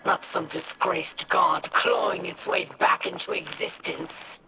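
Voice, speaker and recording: A woman playing a resolute young alien warrior in a video game, speaking formally and firmly with a gravelly edge. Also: lowish voice, slow, noisy background. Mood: scornful, firm. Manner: formal, firm, declarative. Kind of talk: dramatic game voice acting. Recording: loud music under the voice.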